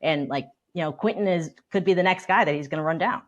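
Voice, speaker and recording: A woman's voice: low voice, quick pace, highs cut off. A woman chatting casually as a sports reporter and guest on a talk show.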